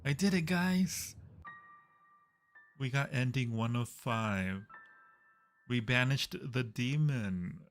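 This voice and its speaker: A man's voice: medium pitch, takes it slowly, pauses often. Casual streamer: man, very leisurely talker, playful with his viewers, background music under the voice. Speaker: livestream host. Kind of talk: casual game livestream chat.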